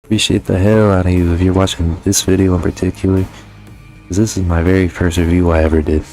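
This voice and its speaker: A man's voice: low voice, level tone, fast, dark tone, faint music behind, low-quality recording. A young man giving a casual, sweary video game review as a gaming YouTuber. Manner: informal, heavily sweary.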